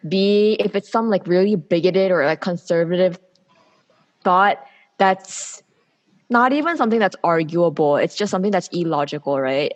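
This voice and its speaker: A woman's voice: lowish voice, highs cut off. A woman in her twenties from South Korea, speaking candidly and with opinions as a guest in a casual interview, explaining herself at length. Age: 20s. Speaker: podcast guest, public policy student.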